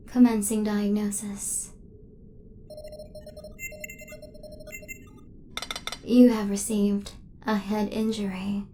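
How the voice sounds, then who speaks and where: A woman playing a devoted, protective female hunter robot in a soft-spoken ASMR roleplay, with formal, precise, machine-like phrasing. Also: medium pitch, slight background noise, lightly acted. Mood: calm, reassuring.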